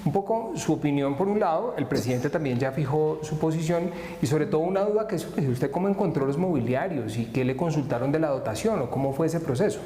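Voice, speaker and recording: A man's voice: fairly high pitch, expressive, fairly quick, hardly any pauses, warm tone, a little hiss or hum. A man working as a news interviewer, asking polite, formal questions. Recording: roomy echo with long reverb and faint ambience.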